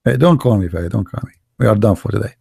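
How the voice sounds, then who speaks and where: Sarcastic man with gravelly voice. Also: on the low side, fast, mellow. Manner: sarcastic, heavy accented English with broken grammar. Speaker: host of a religious debate show. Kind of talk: mocking live podcast monologue.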